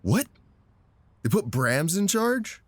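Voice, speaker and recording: A man's voice: high voice, big pitch swings, takes it slowly, no room sound, full character voice. A man acting in an audio drama as a wry, pointed former comrade, intimate and resentful. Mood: indignant, pressing.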